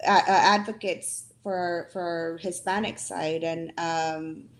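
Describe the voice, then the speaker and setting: A woman who is a parent advocate for her transgender daughter, speaking sincerely and haltingly with many ums and restarts. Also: fairly low pitch. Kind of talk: panel discussion.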